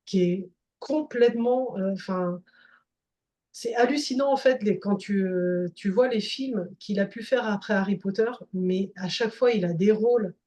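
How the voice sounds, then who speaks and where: A woman's voice: medium pitch, normal speed, dark tone. A woman chatting casually as a podcast guest, hesitant and full of fillers and restarts. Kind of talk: casual chat.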